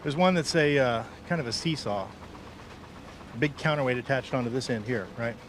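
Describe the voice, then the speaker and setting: A man's voice: mid-range voice, brisk, noisy background. A man from Texas, a catapult expert, explaining a build in a plain, practical way in an outdoor junkyard. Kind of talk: explaining on site.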